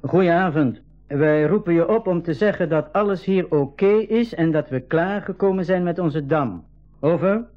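An actor playing a friendly, patient expedition leader who explains things in a radio play. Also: male voice, a little high, moderate pace, faint music behind, lightly acted. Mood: businesslike. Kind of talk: 1980s school radio drama acting. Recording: dull 1980s radio recording.

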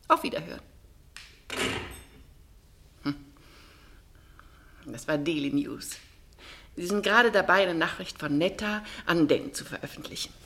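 Genteel, lively-voiced society mother. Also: medium pitch, full character voice. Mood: polite, formal, then curious. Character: older upper-class mother, dryly witty, talkative, genteel. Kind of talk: radio drama acting.

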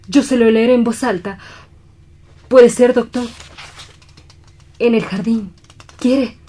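A woman playing a mellow, slow-spoken, grief-stricken doctor. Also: fairly high pitch. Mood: tender, haunted. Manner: solemn, halting, imploring. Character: grief-stricken, guilt-ridden doctor and parent, pleading to die. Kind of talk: radio drama acting.

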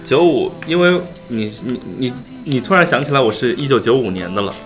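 A young man with a bright, forceful voice banters casually as a show guest. His pitch moves around a lot, with faint music behind him. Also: very animated, brisk. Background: faint background music.

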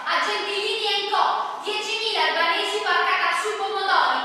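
High, echoing satirical stage huckster. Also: female voice, moderate pace, bright, forceful tone. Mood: insistent, caustic. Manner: declamatory, insistent, listing. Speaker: stage actor. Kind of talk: rapid chanted satirical theatre recitation of lists. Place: theatre stage, recorded at a distance. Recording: echoey hall from far off, faint noise.

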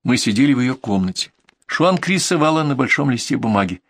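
A man reading a novel aloud in a small dead-sounding room, medium-pitched, for someone listening on headphones. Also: lively intonation, brisk, fairly bright tone, dry recording. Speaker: audiobook narrator. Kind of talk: scripted first-person story narration.

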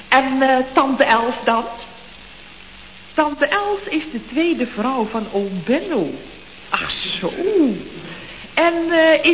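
A woman's voice: fairly high pitch, fairly bright tone, heavily acted. A woman playing a chatty, scatterbrained secretary in a Dutch radio comedy from around 1970, full of questions. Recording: roomy, dull, hissy old radio recording.

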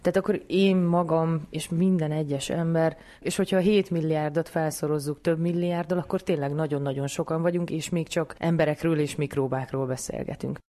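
A woman's voice: low voice, fairly even intonation, few pauses, warm tone. A woman hosting a science programme, narrating from a script and putting questions to a guest. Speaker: science programme host and interviewer.

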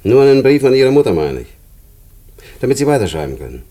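An actor in a German radio play playing a calm, paternal prison inspector with a measured, probing manner. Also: male voice, medium pitch, close to an everyday voice. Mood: concerned, probing. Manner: measured, probing, matter-of-fact.

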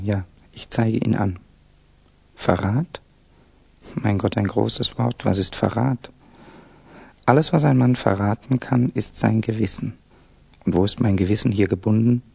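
Tormented young student, gravelly and husky. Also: male voice, on the low side, unhurried, pauses often, warm tone, dry recording, heavily acted. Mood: agonized brooding. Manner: brooding self-questioning, bursting into outcries. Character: tormented young Russian student, anxious and self-justifying. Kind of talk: 1960s German radio play acting.

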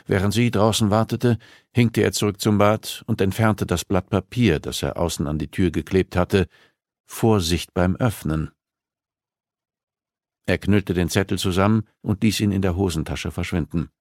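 A man's voice: sits low, normal speed, a little mellow, dry recording, studio quality. A man reading a novel aloud as scripted audiobook narration.

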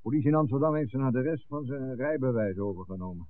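A man's voice: mid-range voice, fairly quick, dry recording, natural voice. An actor playing a grave, earnest village man who speaks hesitantly and respectfully in a 1960s radio drama. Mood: grave, reluctant. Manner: hesitant, addresses the priest respectfully. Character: grave village man bearing bad news, later indignant. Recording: thin phone-like 1960s radio recording.

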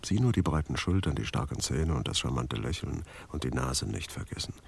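A man's voice: rumbling low, expressive, a little fast, gravelly, breathy edge, full character voice. A male actor playing a dry, sardonic veteran police adjutant in a German radio crime drama. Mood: wry. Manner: wry, ironic, laid-back.

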